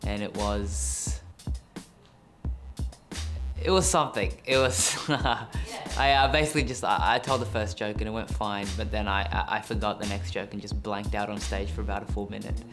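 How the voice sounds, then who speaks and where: A young man, a stand-up comedian, chatting in an interview in a venue hallway with lots of fillers and a relaxed manner. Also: lowish voice, normal speed, over music. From Perth, Western Australia. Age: young adult.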